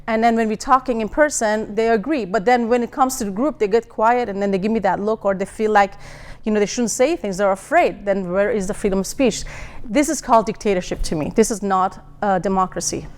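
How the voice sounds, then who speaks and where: A woman's voice: medium pitch, fairly quick, darkish tone. A woman from Iran, a singer interviewed as a guest, answering earnestly and often restarting her sentences.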